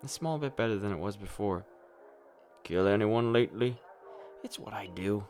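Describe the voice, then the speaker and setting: A man's voice: fairly low pitch, moderate pace, darkish tone, close to an everyday voice. A man acting a weary, hard-drinking, despairing superhero in a darkly comic radio play, brooding and rambling with a sardonic edge. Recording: music playing under the voice.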